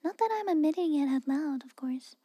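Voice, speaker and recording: A woman playing a guarded, haughty but secretly caring castle maid in a whispered ASMR roleplay, halting and grudging, close to the microphone. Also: sits high, expressive. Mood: defensive, grudging. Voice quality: soft, breathy whisper. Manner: hesitant, halting, grudging, cautioning.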